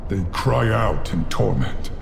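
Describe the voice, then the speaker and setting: An actor playing a solemn, suffering noble warrior held captive in a dramatic video game. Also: male voice, expressive, slow pace, full character voice. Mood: somber. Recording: clear background noise and rumble.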